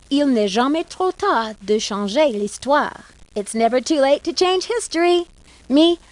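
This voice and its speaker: A woman voicing a plucky, curious young detective in a video game, with a clear, earnest storytelling delivery. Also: high-pitched, dry recording. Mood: bright, satisfied. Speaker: game protagonist. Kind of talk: letter narration and game dialogue acting.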